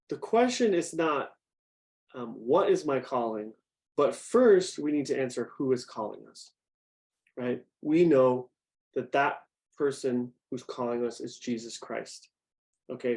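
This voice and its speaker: A young man teaching a group, speaking in an even, middle-pitched voice. His talk is conversational and easy, and he keeps stopping to put questions to his listeners. He sounds like someone guiding a youth class on a video call. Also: gravelly. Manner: conversational, asks the audience questions. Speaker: youth program director.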